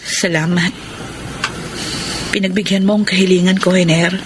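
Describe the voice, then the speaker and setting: An actress with a Filipino accent playing a sorrowful, lovelorn woman in a radio soap drama, speaking softly and tenderly. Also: lowish voice, fairly even intonation, moderate pace, very noisy, close to an everyday voice. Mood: tender, wistful. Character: lovelorn, sorrowful woman pleading with her former lover. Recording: loud music bed under radio drama. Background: sentimental music.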